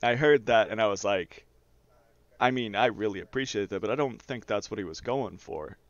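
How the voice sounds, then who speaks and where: A man's voice: on the low side, moderate pace, highs cut off. A man casually telling rambling, profane personal stories as a streamer over gameplay. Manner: rambling, profane, often chuckles while talking.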